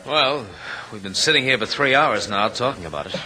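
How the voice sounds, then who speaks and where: A man's voice: medium pitch, lively intonation, fairly quick, pushed and bright. A man playing an eager, insistent young college-educated swindler in a radio drama, slick in manner, on an old recording. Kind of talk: 1940s-50s radio drama acting. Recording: noisy vintage radio recording.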